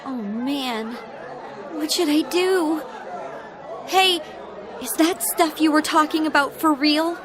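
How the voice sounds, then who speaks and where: An American woman voicing a feisty, excitable teenage schoolgirl in a video game, speaking in an emphatic, informal way. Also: sits high, takes it slowly, long pauses. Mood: anxious. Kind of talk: English video game voice acting. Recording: background music and crowd chatter behind.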